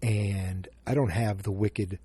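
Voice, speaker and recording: A man's voice: fairly low pitch, steady tone, slow pace, dark tone, husky. A man from Massachusetts with a Boston accent, hosting a solo podcast in a rambling style full of restarts and fillers. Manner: rambling and self-interrupting, with frequent fillers. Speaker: podcast host.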